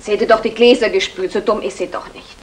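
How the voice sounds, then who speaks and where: An actress playing an aggrieved, quarrelsome young wife, reproachful and exasperated, in a 1960s German radio play. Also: female voice, medium pitch, fairly even intonation, brisk, close to an everyday voice. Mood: suspicious. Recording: noisy old radio play recording.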